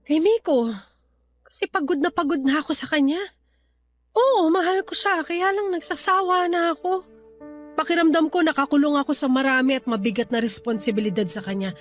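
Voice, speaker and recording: A woman's voice: high voice, a little fast, faint music behind, lightly acted. A woman playing a weary, resentful young wife in a Tagalog radio drama, venting plaintively and hesitantly to her cousin. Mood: weary, confiding.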